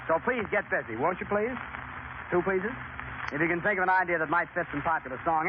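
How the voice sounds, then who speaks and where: A noisy, narrow-band 1930s broadcast carries an American man with a high voice. He hosts in a chatty, folksy style, talking directly to the folks at home. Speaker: radio quiz show host. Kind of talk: 1930s radio presenting. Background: clear broadcast noise.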